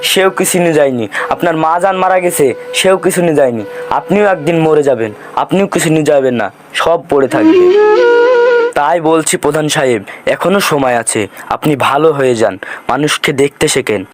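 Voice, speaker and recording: Male actor as earnest, preachy villager, slightly high voice, solemn rural drama, flute music. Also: a little fast, natural voice. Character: earnest moralizing villager admonishing a headman. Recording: flute music behind the dialogue.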